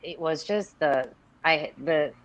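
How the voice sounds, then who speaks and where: A woman's voice: low voice, takes it slowly, dry recording, low-quality recording. A woman from Singapore, a radio presenter, chatting as a guest on a live video call and telling stories with many restarts and laughs. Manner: chatty storyteller, restarts sentences, laughs often.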